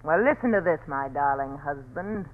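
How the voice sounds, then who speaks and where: An actress playing a scheming wife who turns into a cat, in a vintage radio drama. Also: female voice, low-pitched, animated, moderate pace. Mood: taunting. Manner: taunting, then shrieking in panic. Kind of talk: 1940s radio acting. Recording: tinny old radio recording.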